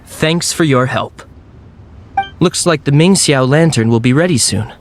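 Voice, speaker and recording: A man's voice: medium pitch, measured pace, a little hiss or hum, natural voice. A man voicing a dutiful city guard in a video game, formal, assured and reassuring. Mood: serious. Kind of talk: video game character acting.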